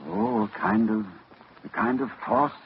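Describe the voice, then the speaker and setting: An actor playing a grave, urgent scholar in a 1940s radio drama, lecturing and tense. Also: male voice, medium pitch, big pitch swings, measured pace, vocal fry. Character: older male scholar facing a monster. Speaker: Doctor Armitage. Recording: dull old radio recording with hiss.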